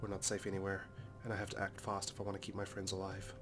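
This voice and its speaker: A man doing a Let's Play, dramatically reading visual novel narration in a serious, measured storytelling manner. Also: low-pitched, completely flat delivery. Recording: loud music behind the voice. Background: game soundtrack music.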